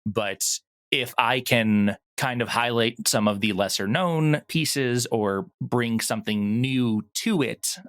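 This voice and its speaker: A man's voice: mid-range voice, slow pace, fairly bright tone. A man talking as an interview guest in a conversational, explanatory way about his work. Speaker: audio drama producer, interview guest.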